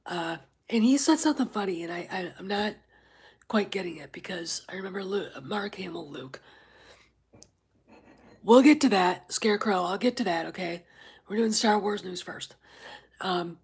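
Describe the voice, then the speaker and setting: Husky, congested woman rambling to chat. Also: medium pitch, moderate pace, long pauses, darkish tone. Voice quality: very husky, often near a whisper. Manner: rambling, many fillers, greets chat viewers. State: congested, stuffed-up nose. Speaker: livestream host. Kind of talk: casual livestream chat.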